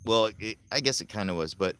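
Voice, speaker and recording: A man's voice: fairly low pitch, animated, fairly quick, a bit bright, clean tone. A man from Florida hosting a casual live chat outdoors, rambling and chuckling as he talks with viewers. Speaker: livestream host. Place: outdoors at night.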